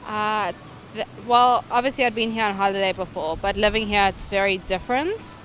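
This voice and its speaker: A woman's voice: mid-range voice, moderate pace, fairly bright tone. A woman giving casual, conversational answers in a street interview. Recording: outdoor street with traffic noise.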